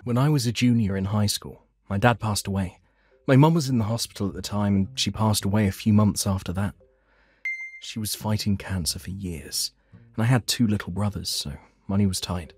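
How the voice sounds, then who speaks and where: A man's voice: on the low side, moderate pace, darkish tone, slightly rough. A man playing a hardworking eldest brother, narrating his family story in a scripted comic dub. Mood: recounting, matter-of-fact.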